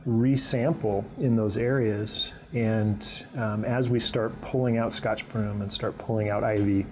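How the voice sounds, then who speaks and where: A man speaking as a college instructor in an explanatory interview style, with halting, measured phrasing. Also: lowish voice, creaky.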